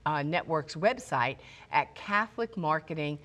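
A woman's voice: low-pitched, lively intonation, unhurried, a bit bright. A woman speaking as a talk show host, introducing and questioning guests in a conversational way. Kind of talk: conversational interview hosting.

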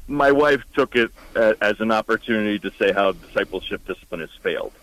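Halting male caller, lowish voice. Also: a bit bright, low-quality recording. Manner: halting, many fillers. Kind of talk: phone-in call. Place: car parked by roadside.

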